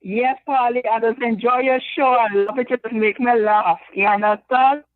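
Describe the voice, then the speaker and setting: A woman from Trinidad with a Trinidadian accent, calling in to a talk show and speaking hesitantly with many pauses. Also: on the high side, fairly even intonation, no echo. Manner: hesitant, lots of ums. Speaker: caller. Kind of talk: phone call-in. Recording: phone line.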